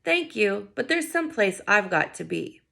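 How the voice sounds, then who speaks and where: An earnest storybook boy of nine, acted out by a woman reader, polite but set on getting his way. The voice is creaky and courteous, with a determined edge. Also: mid-range voice, very animated, a little slow, a bit bright, full character voice. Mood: polite, cheerful.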